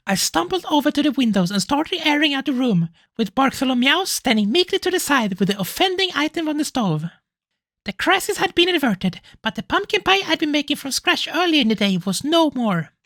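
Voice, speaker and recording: A woman plays a gentle, anxious furry dad in a visual novel, high in pitch and very animated. The delivery is hesitant and emotional, easily flustered, with soft music underneath. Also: no echo, exaggerated voice. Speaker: narrator. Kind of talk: dramatic first-person visual novel reading.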